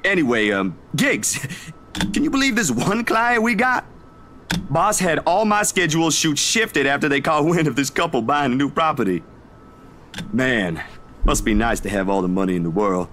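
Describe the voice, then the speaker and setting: Male actor as expressive young man, friendly photographer, swinging intonation, chatty game dialogue, noticeable background noise. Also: mid-range voice, moderate pace, close to an everyday voice. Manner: casual, chatty, expressive delivery. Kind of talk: naturalistic video game voice acting.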